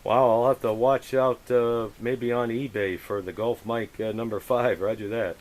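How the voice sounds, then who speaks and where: A man's voice: mid-range voice, flat delivery, moderate pace, a little mellow. A man chatting casually over the radio as an operator, effusive and full of radio jargon. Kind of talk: casual radio contact chat.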